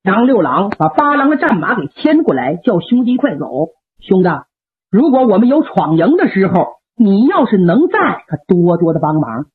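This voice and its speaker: A woman voicing a calm elder brother warrior who talks in a measured, reassuring way, heard through a tinny radio recording. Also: mid-range voice, husky, pitched below the performer's own voice. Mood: consoling.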